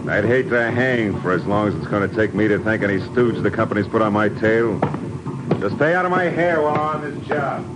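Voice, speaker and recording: An actor playing a surly, blunt oil-rig boss in a 1950s radio drama, with a gruff, hostile delivery. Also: male voice, lowish voice, fairly quick, natural voice. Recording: very noisy old radio broadcast.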